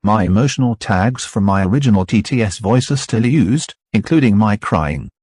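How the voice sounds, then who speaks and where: A man's synthetic text-to-speech voice with a British accent, voicing a cartoon character in a flat, recited self-introduction. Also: fairly low pitch, normal speed, breathy edge, dry recording, clean studio sound. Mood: matter-of-fact.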